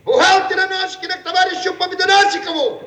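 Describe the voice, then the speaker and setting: Very high-pitched officious secretary. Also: male voice, fast, pushed and bright. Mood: summoning. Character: officious male Soviet secretary, petty bureaucratic gatekeeper. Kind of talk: archival Soviet radio theatre acting. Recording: echoey, noisy archival radio recording.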